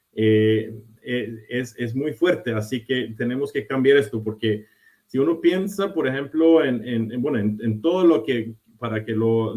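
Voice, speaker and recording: A man with a Costa Rican-tinged Spanish accent speaking as a panelist on a video call, halting with many restarts and fillers. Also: medium pitch, a little mellow. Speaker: panelist, charging company executive. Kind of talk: remote panel talk. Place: remote, joining from abroad.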